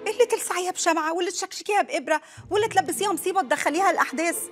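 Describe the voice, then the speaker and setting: An actress playing a calm, reasonable neighbor woman who coaxes and lectures in an Egyptian accent. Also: very high-pitched, racing, soft background music. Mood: coaxing. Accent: Egyptian Arabic. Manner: coaxing, lecturing. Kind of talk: comedy sketch.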